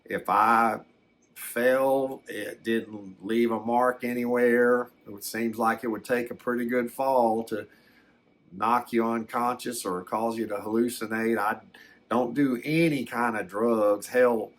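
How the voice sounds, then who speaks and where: An older man telling a personal story in a casual, unscripted way, halting and repeating words as he reflects. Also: mid-range voice, fairly even intonation. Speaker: storyteller recounting a personal experience.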